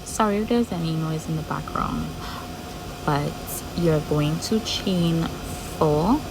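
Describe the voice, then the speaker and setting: A woman's voice: low-pitched, slow pace, background noise. A woman from Trinidad with a strong Trinidadian accent, talking viewers through a crochet pattern step by step and patiently repeating each move as she works. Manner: patient, repeats steps while working. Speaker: crochet tutorial host. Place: home room with background noise.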